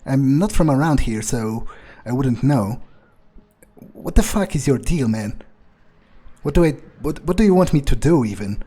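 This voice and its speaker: A man improvising in character as a newly turned vampire in a game, bewildered, irritable and foul-mouthed, muttering in halting, incredulous repetitions with a husky voice. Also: mid-range voice, animated, warm tone. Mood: incredulous, annoyed.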